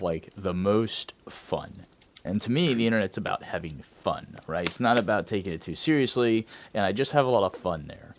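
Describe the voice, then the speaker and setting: Low-voiced man, chatty. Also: background noise. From Southern United States. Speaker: podcast co-host. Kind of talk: casual chat.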